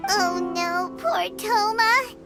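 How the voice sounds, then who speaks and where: A woman's voice: very high voice, very slow, full character voice. A woman voicing a tiny, perky, childlike fairy companion in a video game, blunt and given to short exclamations. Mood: surprised, blunt. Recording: loud background music. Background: music.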